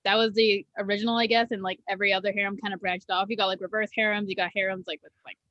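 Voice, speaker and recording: A woman's voice: mid-range voice, little pitch movement, racing, a bit bright, dry recording, lo-fi sound. A woman on a casual podcast panel, rambling and leaning on the word "like" constantly. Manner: rambling, many fillers like "like". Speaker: podcast co-host. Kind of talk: casual group chat.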